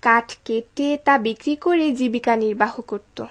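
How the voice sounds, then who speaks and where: A woman's voice: on the high side, a little slow, natural voice. A woman narrating a scripted cartoon story as a storyteller for young children. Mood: storytelling. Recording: clear background noise behind narration.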